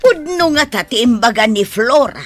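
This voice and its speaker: A woman's voice: fairly high pitch, expressive, moderate pace, soft background music, lightly acted. A woman playing a disdainful, skeptical mother in a melodramatic audio drama. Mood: scornful, suspicious. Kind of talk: melodramatic Ilocano radio drama acting.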